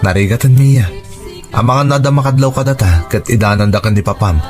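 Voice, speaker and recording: A man playing a sincere young factory worker in love, earnest and gentle, in a radio romance drama. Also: medium pitch, brisk, natural voice. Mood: earnest, tender. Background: background music.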